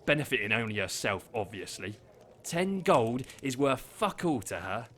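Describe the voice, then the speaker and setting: A man's voice: mid-range voice, big pitch swings, moderate pace, a bit bright, a little hiss or hum. A man playing a chatty, gossiping barkeep who uses crude slang.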